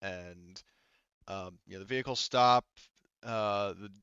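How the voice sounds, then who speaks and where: Bright-voiced man running a tabletop game. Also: lowish voice, clear, resonant tone, no echo, highs cut off. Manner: halting, informal, frequent "like" and "you know". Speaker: game master. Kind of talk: improvised narration of a tabletop roleplaying game.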